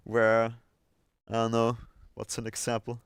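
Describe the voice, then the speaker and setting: A man hosting a livestream in a clean, smooth voice with a very dry, close sound. He chats casually and hesitantly, working out his thoughts as he speaks, at an ordinary male pitch. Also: completely flat delivery, a little slow, no room sound. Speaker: livestream host.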